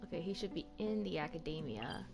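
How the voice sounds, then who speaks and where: A woman's voice: on the low side, expressive, a little fast, vocal fry. A woman streamer giving casual reaction commentary while playing a game. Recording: loud game music behind the voice.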